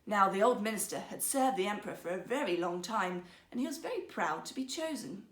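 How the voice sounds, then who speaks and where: A woman storyteller narrating a fairy tale in an expressive, theatrical, carefully phrased way. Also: mid-range voice, a little fast, reverberant.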